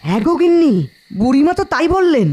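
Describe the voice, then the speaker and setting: Woman voicing a high-pitched humble village husband. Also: big pitch swings, quick pace, mellow. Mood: grateful, hopeful. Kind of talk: Bengali cartoon folk-tale acting.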